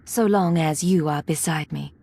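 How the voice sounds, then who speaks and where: An actress playing a composed, resolute imperial agent in a video game, husky and measured in delivery. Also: female voice, deep voice, dark tone. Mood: gentle, resolute. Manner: measured, formal, controlled.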